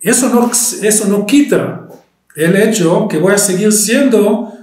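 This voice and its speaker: An Israeli historian with a Spanish accent picked up in Argentina, lecturing and pausing often with fillers and restarts. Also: male voice, high voice, expressive, darkish tone, roomy sound, low-quality recording. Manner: hesitant, many fillers and restarts.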